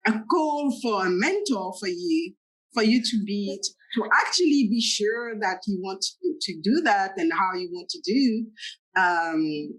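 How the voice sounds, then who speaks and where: A woman hosts an interview, speaking in a halting manner and repeating words as she gathers her thoughts. She fills the gaps with short agreeing sounds while her guest talks. Her pitch is ordinary, neither high nor low. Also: poor audio. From France. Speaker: show host. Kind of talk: casual interview hosting.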